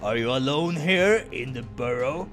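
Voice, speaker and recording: A man voicing a huge, intimidating, hot-tempered wolf adventurer in a low, throaty growl, blunt and taunting, for a visual novel. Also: lively intonation, measured pace, fairly bright tone. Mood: stern, teasing. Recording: music behind the voice.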